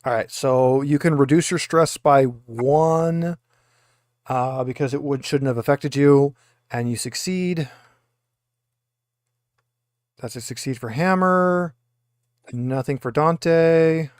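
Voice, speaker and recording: A man's voice: medium pitch, takes it slowly, dry recording, studio quality. A man acting as game master, talking players through dice rolls and rules in a tabletop roleplaying session.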